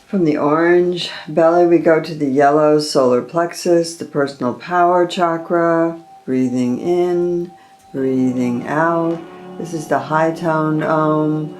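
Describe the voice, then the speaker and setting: Slow, unhurried meditation guide. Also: mid-range voice, steady tone, darkish tone, soft background music. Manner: slow, drawn-out breathing cues.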